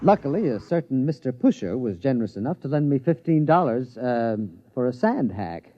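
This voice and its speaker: An actor playing an earnest, naive, stubborn scientist with formal, precise academic phrasing in a 1950s radio drama. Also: male voice, mid-range voice, big pitch swings, normal speed, dry recording, natural voice. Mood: bewildered. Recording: dull, noisy vintage radio recording.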